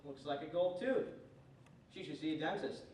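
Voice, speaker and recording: A young man playing a terse, deadpan cowboy lawman who narrates and questions in a western radio parody on stage. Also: a little high, moderate pace, slight background noise, lightly acted. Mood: deadpan, official. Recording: echoey stage, distant mic, music behind.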